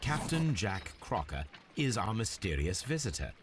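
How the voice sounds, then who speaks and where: An actor playing a calm, analytical detective in a video game, with formal, deliberate delivery. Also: male voice, fairly low pitch, noisy background. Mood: analytical, composed. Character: calm, analytical male detective, coolly probing. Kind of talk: scripted video game voice acting.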